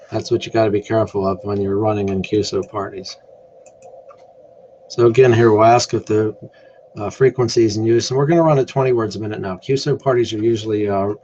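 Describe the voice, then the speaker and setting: Low-voiced man on a dull, lo-fi mic. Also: darkish tone. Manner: unscripted, thinking aloud while working. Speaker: amateur radio contest demonstrator. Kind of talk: casual screen tutorial narration. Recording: dull lo-fi mic with faint noise.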